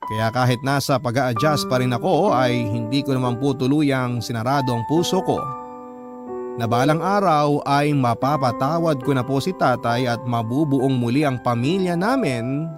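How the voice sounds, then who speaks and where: A Filipino man reading a first-person story as a radio narrator, earnest and confiding. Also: medium pitch. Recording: music playing under the voice.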